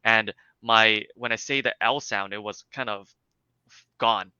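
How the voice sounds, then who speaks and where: Man, light Cantonese accent, bright forceful tone, smooth clear voice, dry slightly dull sound. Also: lowish voice, a little slow, no room sound. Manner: explanatory, many fillers and restarts. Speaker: interview guest, speech clinic founder. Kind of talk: casual interview.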